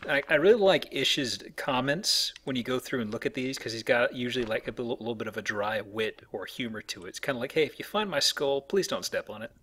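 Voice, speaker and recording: A man gives casual commentary as host of a let's play. His voice sits at an average male pitch, explanatory and jokey, with faint noise and game ambience behind it. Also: expressive, fairly quick, few pauses, a bit bright.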